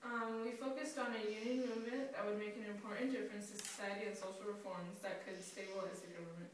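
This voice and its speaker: A woman's voice: medium pitch, fairly even intonation, quick pace, natural voice. A woman playing a male French socialist leader answering a scripted mock interview. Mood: earnest. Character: French socialist leader, male, reflecting on his government's reforms. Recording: very echoey, noisy, distant mic.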